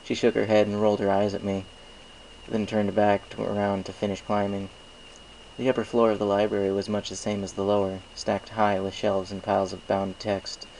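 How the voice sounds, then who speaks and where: A man's voice: fairly low pitch, monotone, moderate pace, creaky, darkish tone, a little hiss or hum, poor audio. A man reading a scripted first-person story aloud as an amateur narrator.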